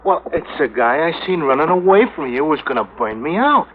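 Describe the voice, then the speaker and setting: An actor playing a jittery, evasive small-time crook in a 1950s radio drama, stammering in rough street grammar. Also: male voice, fairly high pitch, sing-song, brisk. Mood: flustered, defensive. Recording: tinny, slightly noisy vintage radio recording.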